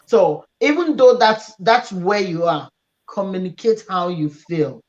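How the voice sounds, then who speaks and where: A woman speaks very slowly and haltingly, pausing on fillers as she gives her final word on a talk show panel. Her voice comes through a dull, muffled line. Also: mid-range voice, expressive, darkish tone. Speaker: panel guest. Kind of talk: closing remarks on a talk show. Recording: muffled lo-fi audio.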